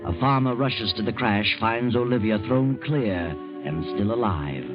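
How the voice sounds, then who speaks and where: An actor playing a sinister, mocking narrator of an old-time radio suspense show, on a muffled recording with music. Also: male voice, mid-range voice, unhurried, lightly acted. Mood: ominous. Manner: ominous, mocking. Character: sinister, taunting male mystery-show narrator. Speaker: host narrator.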